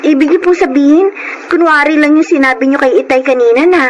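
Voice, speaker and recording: Woman acting as a polite worried young filipina daughter, high voice, radio drama, noisy recording. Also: a little fast, natural voice.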